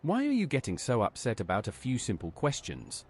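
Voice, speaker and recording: A man's voice: medium pitch, wildly swooping pitch, fairly quick, darkish tone, breathy edge. A man's synthetic voice asking polite, plain questions as a game's player character. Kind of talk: text-to-speech game dialogue.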